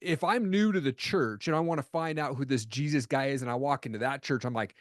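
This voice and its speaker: Casual dude hosting a podcast, upbeat, dry close sound, mid voice. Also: male voice, medium pitch, brisk, dry recording. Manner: upbeat, casual, says "man" a lot. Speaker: podcast host. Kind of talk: casual interview chat.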